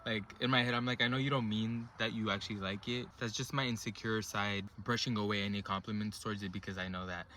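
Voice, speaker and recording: A man's voice: lowish voice, quick pace, hardly any pauses, a little hiss or hum. A man in his twenties casually telling personal stories to camera on his vlog, rambling with lots of fillers. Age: 20s. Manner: relaxed, rambling, filler-heavy talk. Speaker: vlogger.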